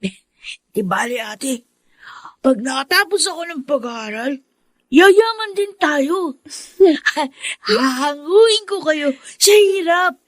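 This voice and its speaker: A woman's voice: sits high, animated, takes it slowly, long pauses, dry recording. A woman in a Filipino radio drama playing an earnest, hopeful, dutiful poor boy in his teens, speaking politely. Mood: hopeful, determined.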